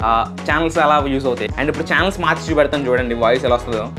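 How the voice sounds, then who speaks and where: Very fast-talking man, loud music behind. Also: medium pitch. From India. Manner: chatty, explanatory. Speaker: video host. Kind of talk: casual unboxing and product demo.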